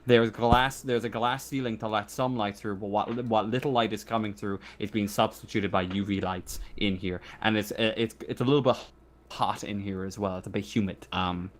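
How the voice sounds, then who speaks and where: A man improvising narration as game master of a tabletop roleplaying game, thinking aloud in a halting way. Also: lowish voice, fairly even intonation.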